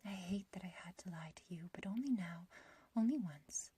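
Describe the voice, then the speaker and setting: A woman's voice: on the low side, normal speed, dark tone, no room sound. A woman playing an ancient but youthful witch in a whispered ASMR roleplay, obsessively loving and possessive, with archaic, intimate phrasing. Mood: adoring, triumphant. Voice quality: breathy, whispery.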